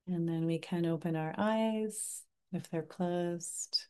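A woman teaching a mindfulness class over a video call, leading a guided reflection in a gentle, unhurried way with frequent pauses. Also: fairly low pitch, clear, resonant tone. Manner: gentle, unhurried, pausing often.

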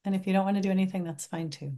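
A woman teaching a mindfulness class over a video call, leading a guided reflection in a gentle, unhurried way with frequent pauses. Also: mid-range voice. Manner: gentle, unhurried, pausing often.

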